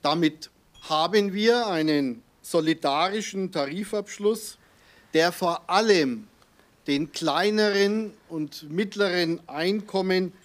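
A man speaking as a union representative, giving a slow, emphatic statement in an interview soundbite. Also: high-pitched.

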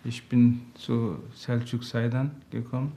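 A man whose native language is Turkish, speaking halting German with many pauses as a father seeking counseling. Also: mid-range voice, level tone, dark tone, a little hiss or hum. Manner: hesitant, halting German with frequent fillers. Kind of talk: interview answers.

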